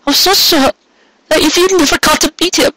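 A storyteller voicing a distressed, devoted young female pony who pleads in halting, stammering phrases. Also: high voice, fairly even intonation, background noise, pitched above the performer's own voice, close to an everyday voice. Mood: pleading.

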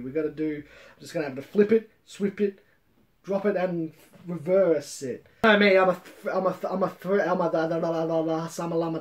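A man's voice: high-pitched, fairly bright tone. A man in his thirties hosting a casual comedic review, rambling and swearing, repeating himself with mock-boastful swagger. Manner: rambling, sweary, repeats phrases for comic effect. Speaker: host of a manga review show. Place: room at home with bookshelves.

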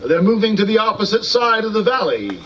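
A man's voice: high voice, very animated, brisk, bright, forceful tone, lo-fi sound, full character voice. A man playing an earnest battlefield announcer, giving formal, declamatory commentary in a live historical dramatization. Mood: measured, formal. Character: earnest battlefield announcer reporting events as they unfold. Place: outdoor stone amphitheater, far camera. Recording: distant outdoor recording with noise.

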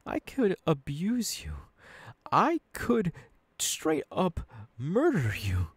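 Young male villain boss, acted by a man, unhinged and mocking, slow, wildly swinging pitch, ASMR roleplay. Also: huge pitch swings, breathy edge, dry recording, heavily acted. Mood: sinister, threatening. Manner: taunting, drawn-out, dropping into whispers. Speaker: voice actor.

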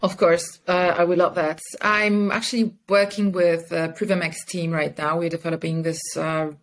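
A woman's voice: fairly low pitch, level tone, moderate pace. A woman speaking enthusiastically and conversationally as an interview guest on a video call. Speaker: podcast guest, communications head and mentor. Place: indoor room with curtains and plants, on a video call.

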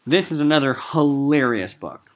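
A man's voice: mid-range voice, expressive, moderate pace. A man with an American accent teaching a recorded literature lesson in an emphatic, informal classroom style.

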